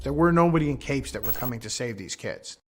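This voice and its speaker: Loud music plays behind a man with a mid-range voice. He narrates earnestly, in the manner of a promotional video. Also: mid-range voice, expressive, fast.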